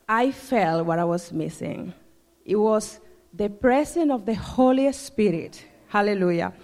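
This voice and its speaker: A woman's voice: medium pitch, a little mellow. A woman from the Dominican Republic with a Spanish accent, giving a joyful church testimony that she keeps breaking with hallelujahs. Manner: joyful, laughing, repeating hallelujah. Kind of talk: church testimony and short sermon. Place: church hall. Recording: long hall reverb.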